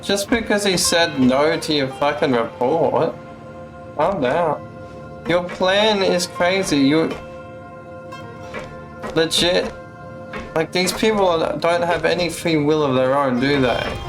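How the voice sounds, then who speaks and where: Game streamer, medium pitch, slow pace, casual live commentary, blunt, sweary, music in background.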